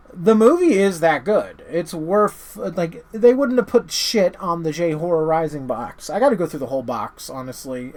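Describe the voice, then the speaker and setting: A man hosting a casual, unscripted review, rambling and often stammering and interrupting himself as he talks. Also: on the high side. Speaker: host of a horror movie review channel.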